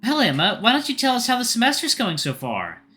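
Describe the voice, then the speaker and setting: A man's voice: extremely high pitch, huge pitch swings, a little fast, bright, forceful tone, faint music behind, pitched above the performer's own voice, full character voice. A man voicing a chatty middle-aged mother in an audio story, nosy and coaxing, eager to play matchmaker. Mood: prying.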